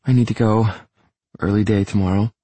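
An audiobook character performed by a man: a bubbly, oddball young woman who gushes and second-guesses herself. The pitch is lifted well up, with a husky grain and a very dry, close sound. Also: steady tone, a little fast, mellow, no echo, close to an everyday voice. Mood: tender.

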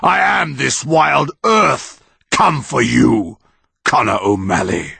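A man voicing an ancient, towering tree monster that speaks in a slow, booming, declaiming way with a gravelly edge. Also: lively intonation, sharp tone, no room sound, exaggerated voice. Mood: roaring.

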